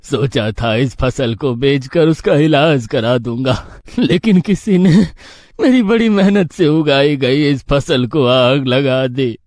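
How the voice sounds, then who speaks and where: A man voices a poor old farmer from a village cartoon tale, humble and full of grief. He pleads and laments at a middling pitch, recorded close and dry. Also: slow pace, a little mellow, dry recording, close to an everyday voice. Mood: lamenting. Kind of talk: Hindi cartoon moral story dialogue.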